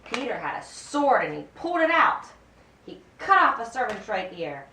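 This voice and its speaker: Expressive woman narrating, roomy and distant. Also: fairly high pitch, normal speed. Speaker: narrator of a puppet show. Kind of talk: scripted Bible story narration for children's puppet show. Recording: roomy, distant mic, faint background noise.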